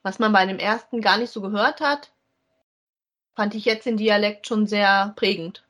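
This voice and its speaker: Informal woman chatting, medium-pitched voice. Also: steady tone, moderate pace, fairly bright tone. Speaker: podcast co-host. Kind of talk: casual conversational review of audio dramas.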